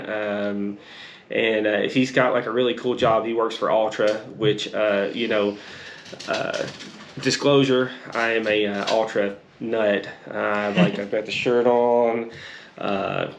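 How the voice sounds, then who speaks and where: Stumbling male host, echoey room. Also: on the low side. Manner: stumbling, repeats words, lots of fillers. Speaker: podcast host. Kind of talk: casual running-podcast interview. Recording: echoey room with faint noise.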